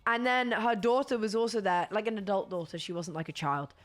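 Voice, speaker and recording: A young woman with a British accent chatting casually to her stream viewers while hoarse from losing her voice, rambling and laughing often. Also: medium pitch, brisk, soft background music.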